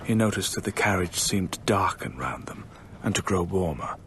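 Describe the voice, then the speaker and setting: An actor playing a British storyteller, narrating a ghost story in a measured, suspenseful radio drama style. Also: male voice, sits low, lively intonation, a bit bright, slight background noise.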